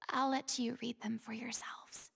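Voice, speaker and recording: A woman's voice: on the high side, level tone, measured pace, creaky, fairly bright tone, breathy edge, full character voice. A woman voicing a poised, commanding young noblewoman in a video game, speaking in an articulate, theatrical way. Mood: pensive. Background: game music.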